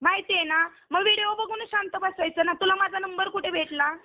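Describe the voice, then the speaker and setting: A woman's voice: extremely high pitch, steady tone, a little fast. A woman argues on a phone call. She is scolding and confrontational, pressing her questions again and again. The recording is dull and lo-fi, as from a recorded call. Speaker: online video performer receiving a prank call. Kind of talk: heated phone argument.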